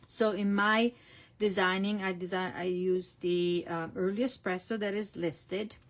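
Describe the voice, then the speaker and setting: Slow-talking Italian-accented woman crafter. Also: mid-range voice, steady tone. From Italy. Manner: chatty, narrates each step, self-correcting. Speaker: crafting demonstrator. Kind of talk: casual online craft class.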